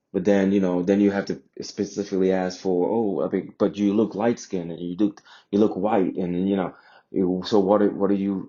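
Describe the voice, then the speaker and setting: A man's voice: sits low, warm tone. A man from Jamaica giving a casual opinion monologue, emphatic, repeating phrases and posing rhetorical questions in slightly muffled audio. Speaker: vlogger sharing personal views.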